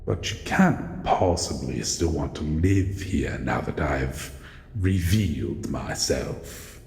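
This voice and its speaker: A man voicing a grumpy, wary shadow monster in an audio roleplay, speaking in a halting, brooding way as it guards its old house. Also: a bass voice, lively intonation, vocal fry, a little mellow, full character voice. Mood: wary, softening. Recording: echoey hall reverb, faint background noise.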